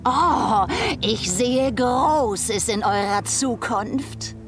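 A very slow, high-voiced female fortune-teller from a fantasy game. She speaks solemnly and portentously, foretelling great deeds, with music clearly heard behind her. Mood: solemn, prophetic. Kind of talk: dramatic game cutscene acting. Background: loud game music.

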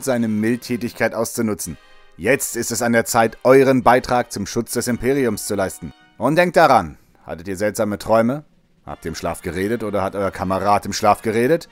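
A man's voice: lowish voice, lively intonation, a little fast, soft background music, natural voice. A man playing a peppy, jovial propaganda host of a military morning radio show, with showy, chummy presenter patter. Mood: rousing, brisk. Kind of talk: radio show moderation in audio drama.

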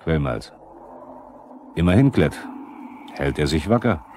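An actor playing a probing narrator who addresses the characters directly, in a 1970s East German radio drama. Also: male voice, rumbling low, sing-song, slow pace, darkish tone, full character voice. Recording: noisy 1970s vinyl radio play.

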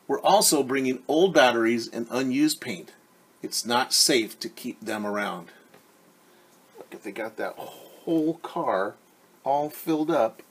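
A man's voice: mid-range voice, a bit bright, slightly rough. A man in his forties reading a picture book aloud as a teacher, stopping to explain things simply and ask the children questions. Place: living room at home, indoors. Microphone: device camera at about arm's length.

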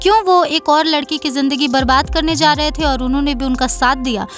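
A woman's voice: high voice, a little fast, fairly bright tone. A woman reading scripted news narration as a presenter. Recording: music playing behind. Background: background music.

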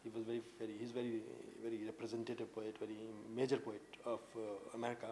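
A man in his late thirties with an Indian accent, sitting for an indoor interview. He speaks earnestly at a middling pitch, stopping often on "uh" and starting his sentences over. A faint hum hangs in the room behind him. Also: monotone, mellow. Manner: earnest, halting, lots of uh fillers and restarts. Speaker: poet guest. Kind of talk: interview answers. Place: indoor room with tapestries and a sofa.

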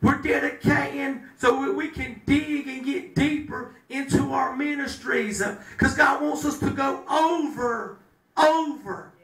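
A middle-aged man preaching a sermon at a pulpit, breaking into shouts and drawing call-and-response from the room. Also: extremely high pitch, unhurried, faint music behind. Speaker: pastor. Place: small chapel room with a pulpit. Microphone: handheld mic, filmed by a far camera. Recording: echoey room from far off.

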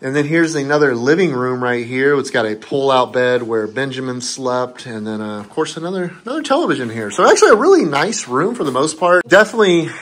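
A man's voice: mid-range voice, very animated, a little fast, hardly any pauses, a bit bright. A man with an American accent chatting casually to viewers as he hosts a travel vlog. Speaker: vlogger and host. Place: in and around a car on a road trip. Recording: noisy car and outdoor road ambience.

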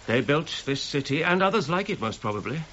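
Animated, stubborn gentleman archaeologist. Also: on the high side, a little fast, dull audio, a little hiss or hum. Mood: fervent, certain. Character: earnest, stubborn gentleman archaeologist, proud and anxiously possessive. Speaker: actor in a radio drama. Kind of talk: period radio drama acting.

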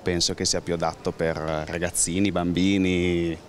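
A man's voice: low-pitched, level tone, moderate pace. A man giving blunt, dismissive opinions to a street interviewer, outdoors into a handheld mic. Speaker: passerby interviewed. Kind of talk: casual opinion. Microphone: handheld microphone.

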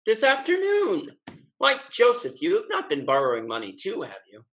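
Brash young rake for period comedy, played by a man: high-ish, bright, forceful, frank and theatrical, on video call. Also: huge pitch swings, normal speed, heavily acted. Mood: boisterous. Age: young adult. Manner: exclamatory, theatrical. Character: brash young spendthrift gentleman, frank and carefree. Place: indoor room at home with bookshelves. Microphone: computer mic on video call.

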